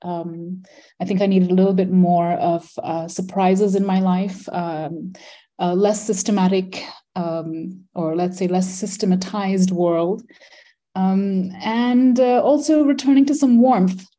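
A middle-aged woman who grew up in Malaysia, speaking as an interview guest, explaining her ideas thoughtfully, with many pauses, ums and self-corrections. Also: mid-range voice, fairly even intonation, warm tone. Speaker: environmental philosopher and design educator.

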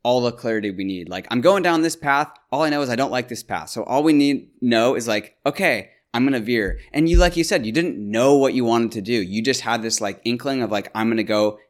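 A man's voice: mid-range voice, expressive, fairly quick, few pauses. A man hosting a casual interview, reflecting on his guest's story with enthusiasm. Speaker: podcast host.

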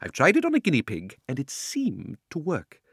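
A sinister old gentleman magician from a children's storybook. He is oily and mock-courteous, swooping theatrically between drawn-out relish and sudden gushing, in a close, dry sound. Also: on the high side, huge pitch swings, vocal fry, husky, no echo, pitched above the performer's own voice, full character voice. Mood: sly, menacing. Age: older. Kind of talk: dramatic audiobook character reading.

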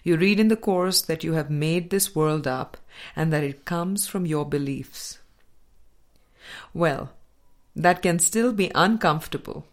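A woman reading a spiritual dialogue book aloud as an audiobook, in a measured, deliberate manner with pauses. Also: sits low. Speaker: audiobook reader.

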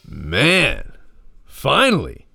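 A man in his thirties with a deep, gravelly, hoarse voice, rambling reflectively in a casual solo podcast. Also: wildly swooping pitch, extremely slow, pushed and bright, no room sound, studio quality, soft background music. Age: 30s. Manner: rambling, reflective, many fillers. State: hoarse from a respiratory infection. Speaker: podcast host.

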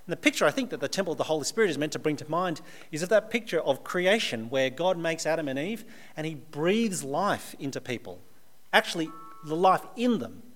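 An Australian man delivers a sermon to a live audience, sounding relaxed and conversational. His voice sits a little higher than most men's, and he slips in dry jokes as he goes. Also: brisk, soft background music. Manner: conversational, with dry humorous asides. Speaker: preacher.

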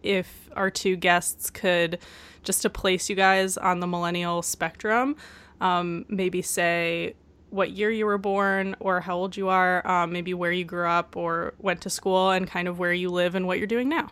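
A woman's voice: fairly low pitch, fairly even intonation, unhurried, a bit bright, smooth. A young woman co-hosting a podcast, asking friendly, hedging questions. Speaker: podcast co-host. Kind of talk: casual interview chat.

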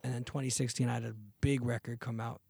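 A man's voice: mid-range voice, fast, creaky, breathy edge, no echo. Laid-back slangy man telling stories. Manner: relaxed, slangy storytelling. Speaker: podcast guest, singer. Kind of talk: casual interview chat.

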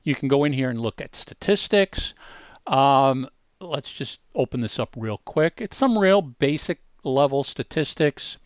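A man presents an informal online tutorial as host. He explains each step in a casual, conversational way, with a mid-pitched voice. The sound is dry, with no room to it. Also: expressive, a little slow, dry recording. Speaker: host demonstrating a music marketing tool. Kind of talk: casual screen-share tutorial.

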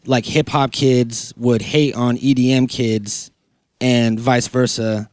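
A man's voice: medium pitch, steady tone, slow pace, dry recording, clean studio sound. A man chatting casually and informally on a podcast, using slang and plenty of filler phrases. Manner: informal, slangy, peppered with "you know".

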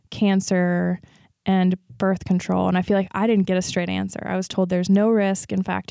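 A woman's voice: mid-range voice, creaky, dark tone, no room sound, studio quality. A woman in her late twenties speaking thoughtfully in a casual conversation as a guest. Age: 20s. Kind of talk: casual health chat.

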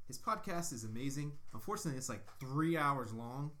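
A man's voice: medium pitch, expressive, brisk, bright, forceful tone. A man co-hosting a podcast in casual chat, rambling and joking with lots of fillers. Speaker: podcast co-host.

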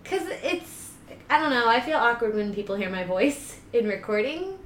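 A young woman with a high, childlike voice, chatting casually and teasing her co-host. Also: sing-song, moderate pace, a bit bright, echoey room, a little hiss or hum. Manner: giggly, teasing. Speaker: podcast guest. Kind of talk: casual chat.

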